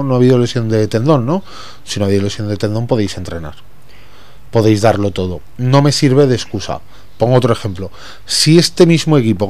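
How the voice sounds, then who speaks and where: A man's voice: medium pitch, a little mellow. A man, talking as a sports pundit in a casual panel, blunt and opinionated.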